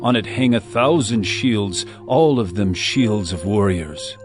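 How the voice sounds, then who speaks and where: An actor playing an adoring royal bridegroom in a dramatized scripture reading, with a measured, tender and lyrical delivery. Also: male voice, on the low side, expressive, over music.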